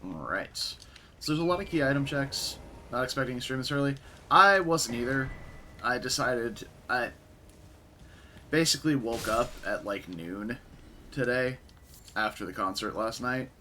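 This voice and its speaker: A young man with a raspy voice from a concert the night before, casually commentating a game stream and chatting with viewers. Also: medium pitch, normal speed, long pauses, slight background noise. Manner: relaxed, chatty, answers chat.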